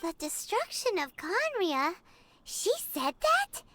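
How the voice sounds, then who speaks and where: A woman voicing a small, childlike fairy guide in a video game, squeaky, chatty and inquisitive. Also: very animated, slow, bright, forceful tone, faint music behind, exaggerated voice. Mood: curious, thoughtful. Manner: refers to herself by name, exclamatory, inquisitive.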